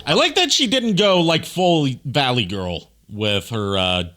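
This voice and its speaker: A man's voice: fairly high pitch, lively intonation, unhurried, a bit bright, soft background music. A man giving casual commentary over a video as a streamer, explaining things in a rambling way. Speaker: streamer, amateur voice actor.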